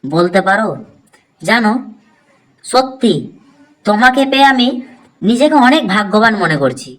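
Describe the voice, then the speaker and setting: A man's voice: high voice, lively intonation, moderate pace, a bit bright, poor audio. A man playing a cautious, devoted young village man in love, speaking earnestly in a cartoon drama. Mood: tender, admiring.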